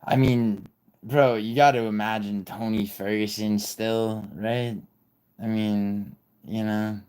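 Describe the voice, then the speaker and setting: A man's voice: on the low side, flat delivery, slow, darkish tone, clean tone. A young man hosting a casual livestream, rambling, swearing and reading viewer chat aloud. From born in Italy, raised in New York City. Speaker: livestream host.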